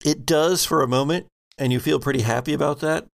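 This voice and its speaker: A man narrating a science fiction tabletop game as game master, in deliberate, drawn-out phrases. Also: on the high side, fairly even intonation, creaky.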